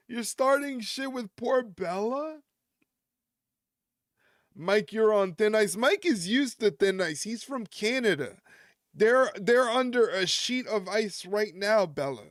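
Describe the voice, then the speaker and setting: A man's voice: high-pitched, no echo, clean studio sound. A man hosting a wrestling live stream, rambling and joking with his chat and often chuckling. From United States.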